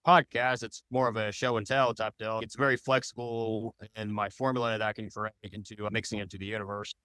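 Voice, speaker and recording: A man's voice: on the low side, level tone, brisk, pushed and bright, clear, resonant tone, no echo. A man answering interview questions as a podcast guest, talking in loose, rambling explanations. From United States.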